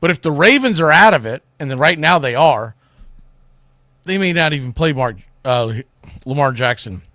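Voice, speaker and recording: A male sports radio host with a slightly high voice, recorded close and dry. He gives casual, colloquial commentary with plenty of opinion, talking directly to the listener. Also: pushed and bright, no room sound. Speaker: sports talk show host.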